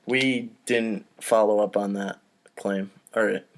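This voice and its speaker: A man's voice: fairly low pitch, steady tone, slow. A man hosting a casual comedy table read, reading stage directions and giving informal, self-deprecating asides.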